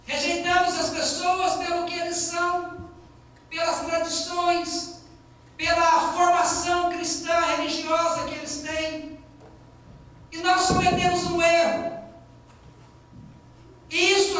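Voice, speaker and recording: A man's voice: extremely high pitch, bright, forceful tone, slight background noise. A man with a Minas Gerais Brazilian accent, preaching a sermon as pastor in a conversational way and stressing key lines. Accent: Minas Gerais Brazilian Portuguese. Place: church sanctuary. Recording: very echoey church hall, distant mic.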